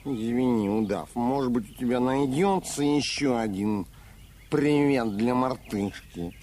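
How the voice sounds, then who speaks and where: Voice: medium pitch, a little slow, mellow, slight background noise, close to an everyday voice. An actor playing a timid, polite, earnest baby elephant in a children's audio play, with a childlike voice and a hesitant, apologetic manner. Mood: flustered, apologetic.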